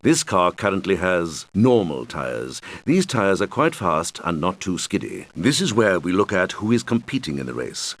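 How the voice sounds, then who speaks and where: A suave, showy male island boss from a racing video game. His voice sits low and moves up and down with lively, animated intonation. He guides the player in a deliberate, theatrical way, like a scripted tutorial host. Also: heavily acted. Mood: helpful, instructive.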